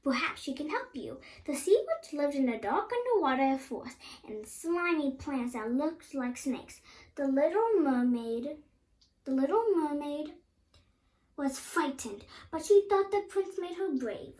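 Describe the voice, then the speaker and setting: A woman reading a children's story aloud, haltingly, with stumbles and repeated words. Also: high-pitched, expressive, darkish tone.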